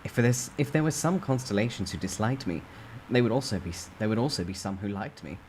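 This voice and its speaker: Low-voiced man narrating a dramatic story. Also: expressive, fast talker, darkish tone, a little hiss or hum.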